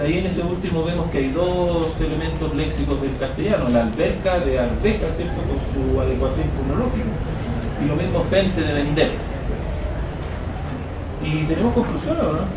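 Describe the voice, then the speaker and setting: A man giving an academic conference presentation. He explains step by step and checks in with the audience through frequent tag questions. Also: on the high side. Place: conference hall. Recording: echoey hall, distant mic, noisy.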